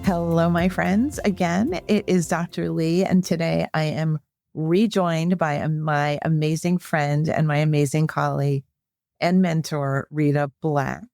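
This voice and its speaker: Low-voiced woman hosting a podcast. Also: steady tone, unhurried, creaky, a little mellow, no room sound. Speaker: podcast host, psychologist. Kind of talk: podcast intro and interview.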